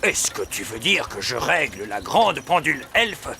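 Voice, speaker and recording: Creaky, animated wise old elf. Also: male voice, medium pitch, unhurried, heavily acted. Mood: incredulous. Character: wise old male elf, proud and excitable. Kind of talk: French-dubbed children's cartoon acting.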